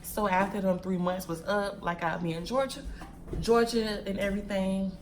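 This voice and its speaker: A woman in her mid-twenties talks casually straight to her viewers, looping back over phrases as she goes. Her pitch sits in the middle range, and faint noise hums behind her at home. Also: steady tone, moderate pace. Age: 20s. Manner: chatty, repeats phrases, addresses viewers as y'all and sis; packing wigs while talking. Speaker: vlogger.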